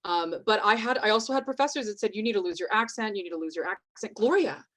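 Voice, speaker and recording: A woman with a slight Mexican American accent speaks on a video call. Her voice sits at an ordinary middle pitch. She talks candidly and haltingly, restarting her sentences and sounding vulnerable. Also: a bit bright. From Mexican heritage, US. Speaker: actress, panelist. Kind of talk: candid roundtable discussion.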